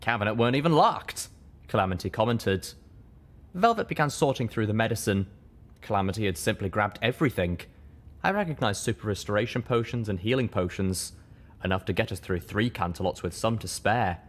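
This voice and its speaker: A man reading a first-person story as an audiobook narrator, with expressive, dramatic delivery. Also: fairly low pitch, a little fast.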